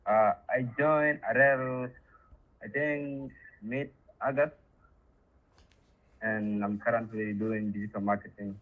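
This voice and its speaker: Low-voiced man on a tinny line. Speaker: student. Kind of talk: brief self-introduction.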